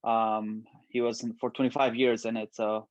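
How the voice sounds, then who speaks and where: A man's voice: mid-range voice, normal speed, dry recording. Iraqi-born man with dull, dry audio. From Iraq. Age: late 20s. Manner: relaxed, lots of ums and likes. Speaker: bodybuilder guest. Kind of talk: casual interview.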